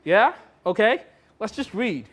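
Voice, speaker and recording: A man's voice: sits high, very animated, unhurried, a bit bright, voice pushed up, exaggerated voice. A man voicing an anxious peacemaking colleague who stammers and repeats himself soothingly. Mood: anxious, placating. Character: anxious, placating male colleague smoothing over tension.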